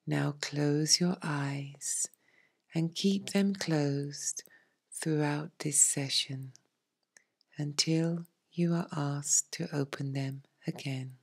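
Woman, meditation guide, husky breathy tone, slow delivery, soothing, near-whispered, pausing often. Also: low voice, steady tone. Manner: soothing, hushed, measured phrasing with long pauses. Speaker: yoga nidra meditation teacher.

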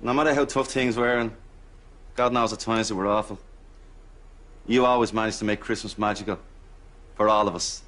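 A man's voice: on the low side, fairly even intonation, normal speed, pauses often, a bit bright, natural voice. Man playing a devoted grown-up Irish son. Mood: heartfelt. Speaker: sitcom actor. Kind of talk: studio sitcom acting. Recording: studio sitcom, faint audience noise.

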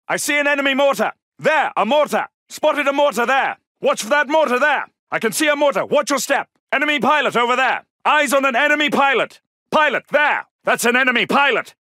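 A man's voice: very high voice, bright, forceful tone, dry recording, clean studio sound, exaggerated voice. A man voicing an alert soldier in a video game, giving clipped, urgent military callouts. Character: alert, gruff British male Second World War soldier. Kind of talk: shouted combat callouts.

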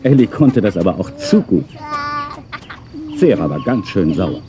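A man's voice: sits low, big pitch swings, measured pace, heavy background noise. A man narrating a scripted audio drama for children in a storytelling style. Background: music.

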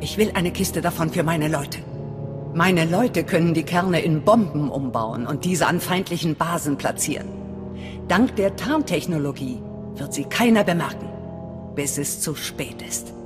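A woman's voice: low-pitched, measured pace. A woman playing a hard, distrustful resistance fighter in a video game, speaking in a blunt, commanding, menacing way. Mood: cold, commanding. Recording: long reverb, faint music behind.

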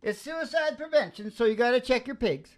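A man's voice: very high-pitched, expressive, fairly quick. An older man hosting a live radio show, bantering in a casual, rambling, playful folksy way into a stand microphone. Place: small indoor stage at a live show.

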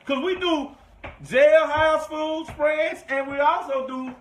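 A man's voice: very high voice, steady tone, takes it slowly, fairly bright tone. A man giving a casual cooking demonstration as a host, informal and slangy, often repeating his instructions. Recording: echoey room with background noise.

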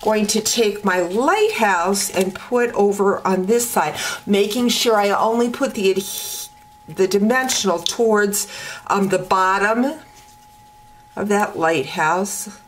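A woman teaching card making step by step at her craft table, talking in a relaxed way with many ums and uhs. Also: medium pitch. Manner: slow, relaxed talk with frequent ums and uhs.

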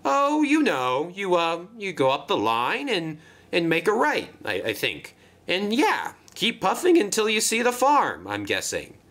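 A man voicing a chatty, naive, excitable female engine in a children's train story, gushing and easily flustered. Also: high-pitched, a little slow, voice pushed up, exaggerated voice. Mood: flustered, bluffing.

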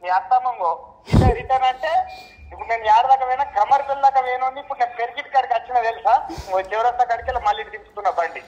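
A man's voice: very high voice, very fast, darkish tone, highs cut off. A middle-aged man with a Telangana accent talking casually to younger relatives over the phone. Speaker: older relative on the call. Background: clear noise.

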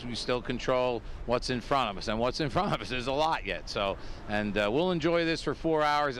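A man's voice: mid-range voice, a bit bright, slight background noise. A male head football coach giving a plainspoken postgame interview, with lots of fillers and restarts. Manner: plainspoken, repeats himself, many fillers.

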